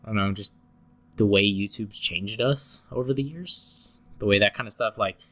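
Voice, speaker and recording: A man's voice: fairly low pitch, moderate pace, pushed and bright. A young man hosting a casual podcast, sounding congested and a little scratchy from a cold, rambling with lots of fillers and restarts. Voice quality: slightly scratchy, nasal. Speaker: podcast host.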